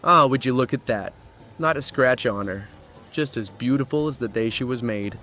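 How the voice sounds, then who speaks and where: A man's voice: mid-range voice, normal speed, over music, lightly acted. A man acting as a cocky, daring young urban explorer in a horror audio drama, with a breezy, cajoling, self-assured way of talking.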